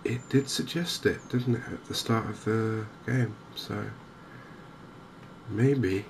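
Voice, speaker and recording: A man gives gentle, softly spoken ASMR commentary on a game, chatty and unhurried with hesitant pauses. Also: lowish voice. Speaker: gaming video host. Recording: clear steady background noise and ambience.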